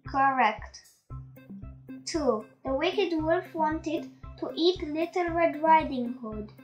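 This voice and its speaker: A woman reading a children's bedtime story aloud with slow, deliberate enunciation and hosting a quiz on it. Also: high voice, lively intonation, faint music behind.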